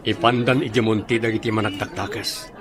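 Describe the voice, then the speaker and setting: An actor with a Filipino accent playing a courteous, well-off gentleman in a radio drama, speaking with assurance. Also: fairly low pitch, steady tone, fairly quick, lightly acted. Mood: satisfied, assured.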